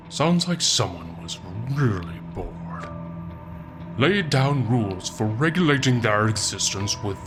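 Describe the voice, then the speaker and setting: Man playing a sardonic, theatrical Satan narrator. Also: fairly low pitch. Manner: theatrical, deliberate, with wry asides. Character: sardonic, foul-mouthed male Satan reading an old book aloud. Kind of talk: comic audiobook-style narration.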